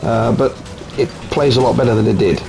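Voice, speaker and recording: A man's voice: mid-range voice, brisk, gravelly, music in the background, poor audio. A man from Italy with an Italian accent, chatting casually at home through gameplay commentary with frequent ums. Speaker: game reviewer.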